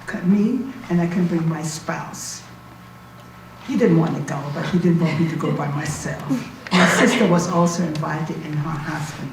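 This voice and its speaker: An elderly woman speaks in a low-pitched voice with a German accent. She recollects her life conversationally, with halts and asides, as if chatting to listeners. The recording is distant and echoey, with faint noise. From Berlin, Germany. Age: 80s. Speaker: Holocaust survivor giving a talk. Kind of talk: informal spoken recollection to an audience. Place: indoor university talk room, recorded from a distance.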